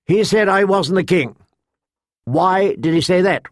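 A man's voice: high voice, expressive, a little slow, dry recording, clean studio sound, pitched above the performer's own voice. A man playing a petulant, imperious boy king in an audiobook, haughty and demanding. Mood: accusing.

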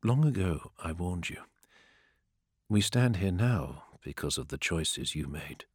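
An ageless emperor speaks quietly and coldly in an audiobook, his patience unshaken, as a male narrator plays him. His voice is low and level, recorded close and dry. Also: expressive, slow, breathy edge, no room sound, heavily acted. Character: ageless male emperor, calm, cold and commanding.

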